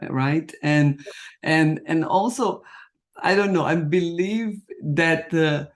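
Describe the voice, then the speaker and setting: Man, non-native English speaker, fairly high voice, halting, restarts, interview host. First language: not English. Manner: halting, searching for words, restarts often. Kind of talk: conversational interview questions.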